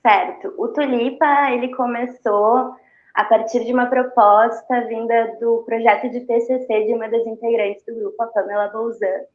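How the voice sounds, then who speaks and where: High-voiced Brazilian woman, roomy dull sound. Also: fairly even intonation, normal speed. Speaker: dancer, interview guest. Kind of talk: informal interview answers.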